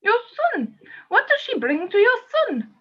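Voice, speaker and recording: High, swooping, earnest exorcist played by a woman. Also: big pitch swings, moderate pace. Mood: coaxing, exasperated. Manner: insistent, coaxing, theatrical. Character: earnest ghost exorcist coaxing a stubborn spirit. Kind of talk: amateur radio play acting. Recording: slightly dull, lo-fi audio.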